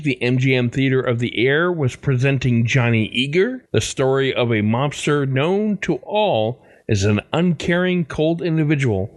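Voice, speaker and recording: A dry, close recording of a male podcast host. He reads scripted announcements at a middle pitch. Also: dry recording.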